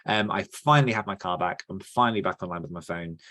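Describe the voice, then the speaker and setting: A man's voice: sits low, quick pace, sharp tone. A man co-hosting a podcast in casual chat, talking in a chatty, rambling, self-deprecating way. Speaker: podcast co-host and author.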